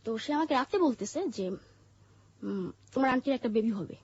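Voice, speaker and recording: A woman with a Bangladeshi accent and a fairly high, husky voice recounts her own life. She speaks haltingly, pausing and repeating phrases as an interview guest. The recording is slightly muffled and lo-fi. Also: lively intonation, a little mellow, no room sound. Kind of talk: personal life-story narration in an interview. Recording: muffled, dull lo-fi audio.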